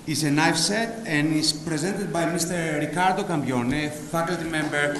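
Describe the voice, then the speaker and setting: A man's voice: a little high, normal speed. A man with a Greek accent hosting a formal graduation ceremony and announcing prizes. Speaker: ceremony host presenting prizes. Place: large hall at a graduation ceremony. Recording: echoey hall, loud crowd and noise. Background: audience crowd noise.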